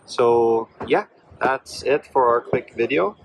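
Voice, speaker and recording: A man's voice: medium pitch, expressive, takes it slowly, gravelly, breathy edge, poor audio. A man presenting a product demonstration in an explanatory way.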